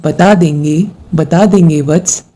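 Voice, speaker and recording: Woman voicing a calm, low-pitched male god. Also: a little slow, noisy background. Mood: calm, benevolent. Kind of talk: animated devotional moral story dialogue. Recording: music behind the voice.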